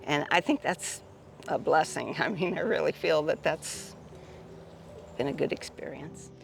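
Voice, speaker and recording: Creaky-voiced American woman, casual interview. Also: sits low, unhurried, slight background noise. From Ohio, United States. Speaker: interviewee, solo pilgrim.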